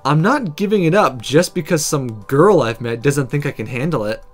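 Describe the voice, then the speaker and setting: An earnest young would-be sorcerer in a visual novel, read by a man. The delivery is animated, hesitant yet stubbornly defiant, over music. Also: a little high, normal speed.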